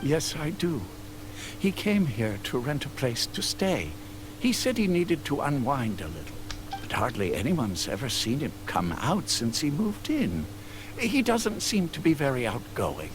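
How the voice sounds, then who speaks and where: An actor voicing a kindly, easygoing village head in a video game, helpful in manner. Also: male voice, mid-range voice, sing-song, a little slow, noisy background.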